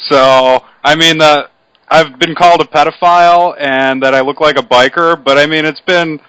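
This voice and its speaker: Bright, brash thirty-year-old man. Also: on the high side, fairly even intonation, moderate pace, clean tone, no echo, highs cut off. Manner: brash, swears freely. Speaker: podcast host. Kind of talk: casual interview chat. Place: his condo.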